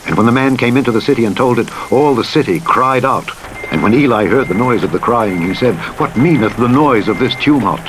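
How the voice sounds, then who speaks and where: A man narrating the Bible in a solemn, measured storytelling style, acting out the dialogue as he reads. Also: animated. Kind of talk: scripted scripture reading with dramatized dialogue. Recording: rumbling truck and rain noise over playback. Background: vehicle rumble, rain, simulator truck sounds.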